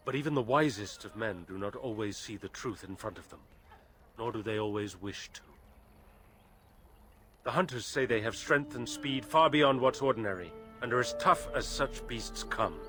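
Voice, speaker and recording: An actor playing a grave elven storyteller in a video game, speaking in measured, formal phrases with solemn weight. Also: male voice, mid-range voice, a bit bright, natural voice. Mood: grave, thoughtful. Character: grave elven storyteller warning of a cursed forest. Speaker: lore-telling game character. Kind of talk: dramatic video game dialogue.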